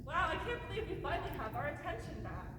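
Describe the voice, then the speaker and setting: Feisty little puppet hero played by a woman, bossy, animated, far off in a reverberant hall. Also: high-pitched, racing. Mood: relieved. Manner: excitable, bossy. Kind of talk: amateur comic puppet show. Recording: noisy echoey hall, distant mic.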